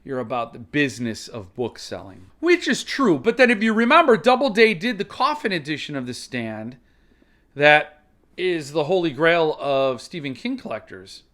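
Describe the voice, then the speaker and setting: Higher-pitched man, casual unboxing commentary. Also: big pitch swings, normal speed, a bit bright. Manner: conversational, opinionated, explanatory. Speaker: book collector reviewer, channel host.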